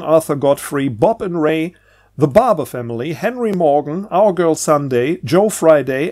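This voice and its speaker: Higher-voiced man rambling casually. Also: a little mellow. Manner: rambling, halting, trails off and rephrases. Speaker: book reviewer and presenter. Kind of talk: casual unscripted vlog monologue over a book.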